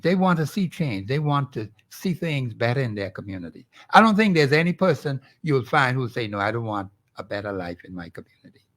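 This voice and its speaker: A man with a Bahamian accent, speaking as a guest professor and urging listeners with earnest rhetorical questions. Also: medium pitch. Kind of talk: call-in show commentary.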